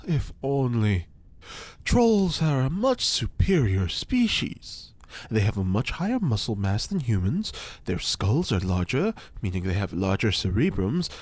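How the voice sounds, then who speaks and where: A man's voice: on the low side, darkish tone. A man playing a pompous, theatrical occult scientist. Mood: grandiose. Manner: grandiose, theatrical, lecturing. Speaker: reporter's informant. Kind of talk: audio drama acting.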